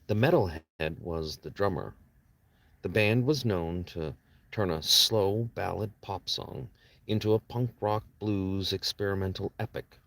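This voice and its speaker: Deep-voiced man reading a novel aloud. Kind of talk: audiobook narration with casual asides to listeners.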